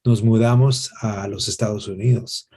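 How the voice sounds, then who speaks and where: A man, answering in an interview in a gracious, halting way with many pauses. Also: fairly low pitch, fairly even intonation, mellow, breathy edge. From Guatemala. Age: 60s. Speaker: interview guest, pastor and worship musician.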